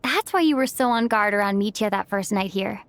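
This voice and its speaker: An actress playing a kind, easygoing young female character in a video game, speaking casually and gently. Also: medium pitch, animated, a little fast, slight background noise. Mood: gentle, understanding.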